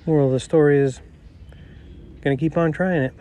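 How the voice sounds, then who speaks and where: A middle-aged man with a nasal voice hosting a podcast on his own, rambling and self-deprecating, talking quietly outdoors. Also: a little high, steady tone, a little fast. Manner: quiet, not projecting. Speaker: podcast host. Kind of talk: casual solo monologue.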